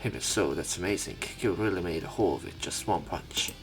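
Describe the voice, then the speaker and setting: A man reading visual novel narration aloud as a let's player, pausing to comment on the text. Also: low-pitched, over music.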